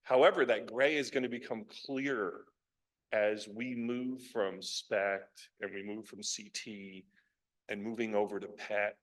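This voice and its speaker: A man's voice: on the low side, fairly even intonation, a little slow, poor audio. A man explaining brain imaging advances in a clinical discussion, speaking with enthusiasm. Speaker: physician presenter. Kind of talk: academic case discussion.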